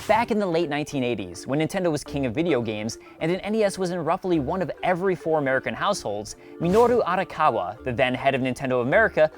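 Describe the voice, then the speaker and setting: Lively male video essay narrator over music. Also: on the high side. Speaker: video host. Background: background music.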